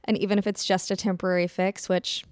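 Chatty young woman, smooth voice. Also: mid-range voice, fast, no echo, clean studio sound. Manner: chatty, animated storytelling. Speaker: podcast co-host. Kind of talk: casual chat.

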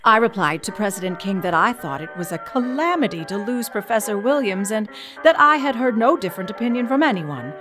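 A woman's voice: mid-range voice, lively intonation, brisk, lightly acted. Outspoken early-1900s woman trustee, played by an actress, indignant and articulate, dramatic letter reading with prominent music behind. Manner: emphatic, articulate, tart.

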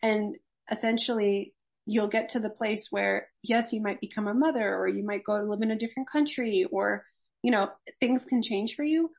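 Husky, gravelly young woman explaining earnestly. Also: mid-range voice, moderate pace, darkish tone. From Los Angeles, USA. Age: young adult. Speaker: gerontology faculty guest. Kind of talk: conversational interview.

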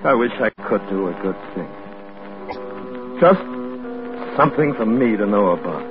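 A man's voice: fairly low pitch, animated, moderate pace, over music, close to an everyday voice. An actor playing a remorseful, earnest spaceman facing death in a 1950s radio drama. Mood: wistful resignation. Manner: brooding, confessional, earnest. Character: remorseful doomed spaceman drifting toward death. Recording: muffled 1950s radio recording.

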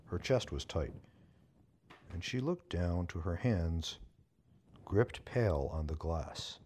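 Male narrator for literary fiction, very low pitch, dark mellow tone. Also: lively intonation, slow, slight background noise. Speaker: story reader. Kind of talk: scripted narration of a short story.

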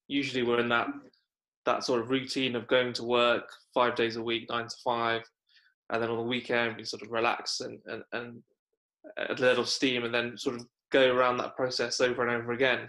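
Man speaking in a flat, measured way. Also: medium pitch, rough recording. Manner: measured, hesitant with repeated words. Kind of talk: reflective conversation.

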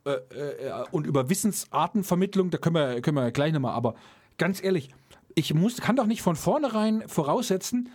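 A man's voice: fairly high pitch, animated, brisk, darkish tone. A man chatting casually as co-host, blunt and ranting in colloquial speech. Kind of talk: casual chat.

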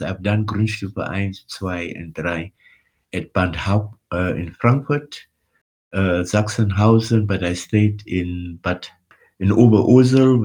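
An older man from Namibia, an Afrikaans speaker, giving reflective interview answers in a halting way with restarts and repeated words. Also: low-pitched, a little mellow. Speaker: interviewee, former liberation activist and tour guide.

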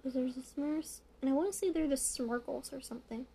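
A young woman co-hosting a casual podcast, chatty and quick to sound surprised. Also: sits high, vocal fry, warm tone. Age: young adult. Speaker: podcast co-host. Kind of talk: casual chat.

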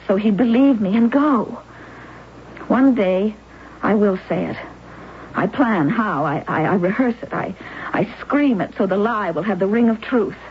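A woman's voice: mid-range voice, a little hiss or hum, lightly acted. An actress playing an anguished young wife in a wheelchair who narrates her own story, in a 1970s radio drama. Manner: intense, pleading, breaking into despair. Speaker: narrator and lead character. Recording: muffled old radio broadcast with music.

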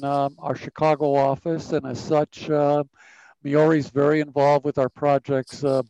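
A man's voice: a little high, a little mellow, dry recording, lo-fi sound. A middle-aged man hosting a design podcast, friendly and loose, with lots of "uh" and restarts. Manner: hesitant, many fillers. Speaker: podcast host. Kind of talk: podcast interview.

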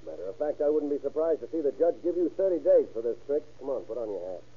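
A man's voice: a little high, big pitch swings, quick pace, slightly rough. An actor playing a skeptical lawman in an old radio crime drama, questioning with dry, needling pressure. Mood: gruff, impatient. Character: skeptical, probing male lawman questioning a suspect. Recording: tinny vintage radio recording.

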